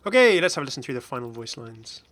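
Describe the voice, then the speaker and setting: A man's voice: medium pitch, big pitch swings, fast talker, fairly bright tone. A man giving casual, chatty commentary as host of a gaming video. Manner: chatty, frequently chuckling.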